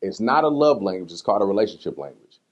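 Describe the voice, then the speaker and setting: Male interview guest, low-pitched voice, slangy and conversational, telling personal stories informally. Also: quick pace, dull audio. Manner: slangy, conversational, animated retelling with acted-out quotes. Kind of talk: casual candid interview talk answering personal questions.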